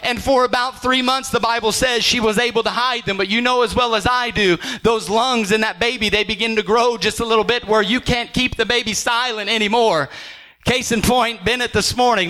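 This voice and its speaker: Guy preaching, really high voice, shouts n sings his lines, bright and sharp. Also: steady tone, a little fast. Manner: preaching with rising shouts and sung phrases. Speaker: pastor. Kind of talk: sermon.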